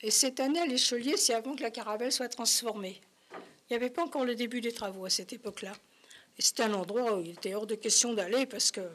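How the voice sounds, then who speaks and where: Older woman with a fairly high voice. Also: a bit bright, breathy edge. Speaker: talk show guest. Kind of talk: conversational personal recollection.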